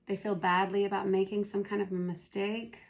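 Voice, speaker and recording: Hoarse, gravelly woman reading tarot. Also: mid-range voice, level tone. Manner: unhurried, thinking aloud as she reads. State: hoarse, losing her voice. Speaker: tarot reader. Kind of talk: casual spoken tarot reading.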